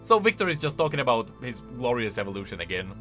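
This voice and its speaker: A man giving casual, expressive commentary as a video host, thinking aloud as he goes. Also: medium pitch, quick pace, music in the background.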